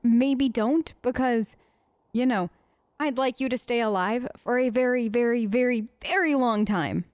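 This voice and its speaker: A woman's voice: on the high side, measured pace, a little mellow, no room sound, clean studio sound. A woman improvising in character for a video game let's play, chatty and hesitant, with an awkwardly polite manner.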